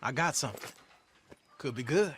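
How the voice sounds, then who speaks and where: An actor playing an eager young outlaw in a video game, casual and animated. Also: male voice, mid-range voice, normal speed, slight background noise, close to an everyday voice. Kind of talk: video game dramatic acting.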